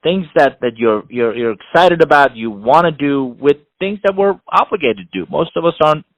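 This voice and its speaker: A middle-aged man from Iran, a non-native English speaker, giving measured, explanatory answers as an interview guest, often building his points in repeated phrases. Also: fairly high pitch, a bit bright, no room sound, low-quality recording. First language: not English. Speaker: interview guest, executive coach and author.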